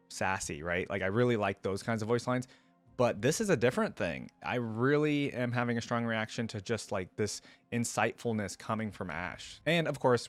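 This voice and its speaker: A man's voice: medium pitch, no room sound. A man hosting a reaction video, giving casual fan commentary in an informal, earnest way. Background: music.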